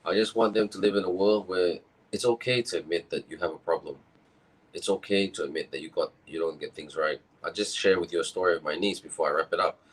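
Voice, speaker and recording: A tired man with a croaky voice, speaking candidly on a video call and often restarting his sentences. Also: low voice, quick pace, slight background noise, poor audio. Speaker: summit speaker. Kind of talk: personal talk on video call.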